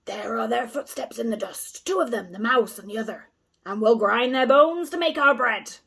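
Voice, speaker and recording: A woman voicing drunken, boastful male gangsters who shout loud threats. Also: on the high side, normal speed, vocal fry, husky, pitched above the performer's own voice, exaggerated voice. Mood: menacing.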